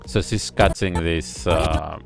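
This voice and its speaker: A man giving casual reaction commentary on a music video, analytical and admiring, thinking aloud with pauses. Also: low voice, steady tone, creaky. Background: faint music video playing.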